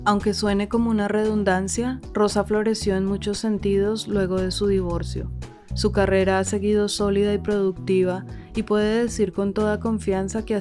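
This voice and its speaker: A woman narrating a documentary-style podcast in a measured, scripted way. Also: mid-range voice. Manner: measured, even delivery.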